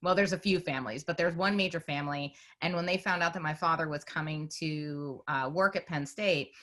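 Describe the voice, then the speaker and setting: A woman's voice: low voice, a little fast, fairly bright tone. A woman in her forties from Northern California, a documentary filmmaker telling her story in a chatty, meandering way on a video call. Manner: chatty, storytelling, many "you know"s. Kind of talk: conversational panel answer.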